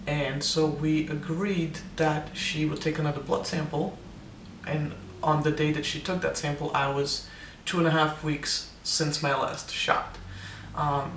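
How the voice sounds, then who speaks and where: A man's voice: fairly high pitch, fairly bright tone, reverberant, recorded from a distance, a little hiss or hum. A young man giving a casual, hesitant personal update, full of ums and restarts, his voice sometimes cracking with air breaking through. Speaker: vlogger.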